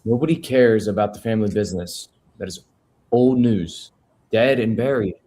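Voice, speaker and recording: A man's voice: on the low side, animated, moderate pace, dark tone. A man reading the part of an anxious, unwell newsman from a crime family at a film script table read. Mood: guarded.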